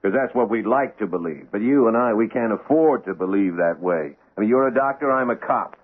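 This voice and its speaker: An actor playing a cynical police lieutenant who presses hard with skeptical questions. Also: male voice, fairly low pitch, lively intonation, brisk. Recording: tinny old radio broadcast.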